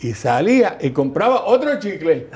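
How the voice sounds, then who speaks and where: An older man with a Puerto Rican accent, a guest telling lively stories in an interview. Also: fairly high pitch, moderate pace, slightly rough. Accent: Puerto Rican Spanish. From Puerto Rico. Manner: lively anecdotal storyteller, mimics voices in quotes. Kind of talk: storytelling interview.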